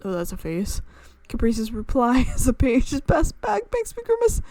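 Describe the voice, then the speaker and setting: A woman with a slightly high voice hosts a gaming playthrough, reading a visual novel aloud. She is playful, drops in casual asides and often trips over a word before fixing it. The sound is dry, with very little room. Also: huge pitch swings, moderate pace, a little mellow, no room sound. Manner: playful, stumbles and corrects words while reading. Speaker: gaming channel host.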